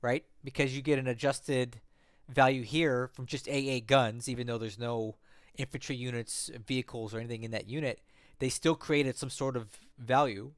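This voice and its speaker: A man's voice: mid-range voice, steady tone, normal speed, clean tone, no echo, clean studio sound. A man with a slightly stuffy voice from a cold, hosting a casual screen-share tutorial and explaining as he goes, often checking in with "right?" and "okay?". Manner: conversational, repeats "right?" and "okay?", thinks aloud. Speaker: strategy game tutorial host.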